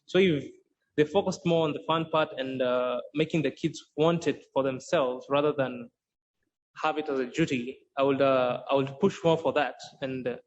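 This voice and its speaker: A man's voice: mid-range voice, fairly even intonation, normal speed. A man explaining how he teaches Swahili in a podcast interview, earnest and often restarting sentences as he searches for words. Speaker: Swahili course creator and instructor. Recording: dull lo-fi sound with faint noise.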